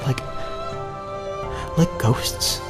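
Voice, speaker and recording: A man playing a troubled young mutant turtle in a comic audio drama, halting and vulnerable in his delivery. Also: over loud music. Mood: confused, hesitant.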